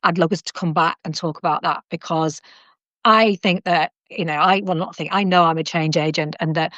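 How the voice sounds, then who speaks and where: Middle-aged lady hosting a podcast, animated, keeps restarting, dry room. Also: sits low, moderate pace, no echo, lo-fi sound. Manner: chatty and animated, with frequent restarts and asides. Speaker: podcast host. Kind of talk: casual conversational interview.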